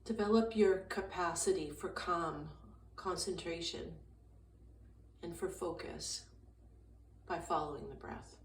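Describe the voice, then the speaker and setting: A woman with a slightly low voice guides a meditation calmly and gently. She speaks very slowly, in short phrases with long pauses. The sound is echoey, as if she is some way from the mic. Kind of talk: guided meditation, slow soothing instruction. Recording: roomy echo, distant mic, faint noise.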